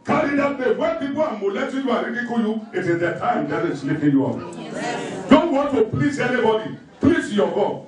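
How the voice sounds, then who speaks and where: A very echoey, distant recording of a man preaching. His voice is pitched extremely high, and he drives each point home with emphatic repetition, like a pastor instructing a congregation. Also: sing-song, brisk, crowd noise. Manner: emphatic, repetitive, numbered exhortations with scripture quotes. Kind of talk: sermon. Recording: very echoey room, distant microphone.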